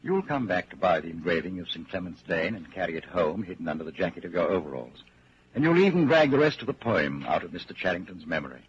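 Narrator for vintage radio drama: low-pitched, ominous, animated delivery, dull old broadcast sound. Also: male voice, quick pace. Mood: grave warning. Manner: grave, portentous, dramatic. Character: ominous male narrator addressing the hero as you. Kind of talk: scripted second-person narration, 1940s radio drama. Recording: muffled, hissy 1940s radio recording.

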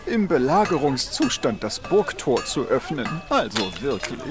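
Fussy court toady for children's audio drama, snooty, fawning, theatrically varied pitch. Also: male voice, sing-song, unhurried, over music. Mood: snooty disapproval. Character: fussy, obsequious male royal servant.

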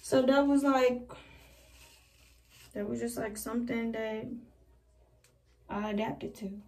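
A young woman with a fairly high voice rambles casually to her camera while she does her hair. The camera sits a few feet off, so she sounds a little far away in a small bedroom. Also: fairly even intonation, slow pace, darkish tone. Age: young adult. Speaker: vlogger. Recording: voice a bit far from the mic.

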